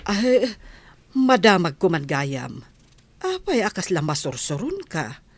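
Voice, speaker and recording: Easygoing, curious female friend played by a woman in audio drama. Slow pace, close dry sound. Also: on the low side, big pitch swings, slightly rough, no echo, heavily acted. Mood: curious, friendly.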